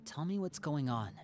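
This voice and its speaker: A man voicing a confident, perceptive teenage boy, a protective older brother, in a video game, with a smooth, probing manner. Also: fairly high pitch. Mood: concerned.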